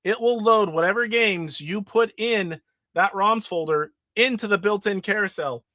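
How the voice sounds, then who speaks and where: A man's voice: sits high, moderate pace, pushed and bright, no echo. A middle-aged man hosting a casual live stream about retro games, sick and nasally with his voice starting to go. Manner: rambling, demonstrating games live. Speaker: retro gaming show host.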